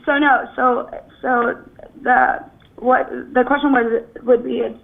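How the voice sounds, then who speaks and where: A young woman calls in on a thin, phone-like line. She sounds jittery, with lots of restarts and apologies. Her voice sits fairly high. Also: steady tone, vocal fry. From Venezuela. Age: 20s. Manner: nervous, apologetic, halting. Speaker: caller.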